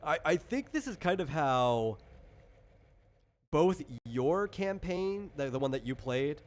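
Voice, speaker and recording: A man's voice: fairly high pitch, big pitch swings, takes it slowly. A man chatting casually as a game master, explaining things in an informal, rambling way. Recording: clear background noise.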